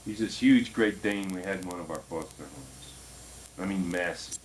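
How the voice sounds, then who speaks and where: An actor playing an earnest man in his forties, coaxing and animated as he talks. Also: sits low, normal speed, a little hiss or hum, natural voice, poor audio. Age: 40s.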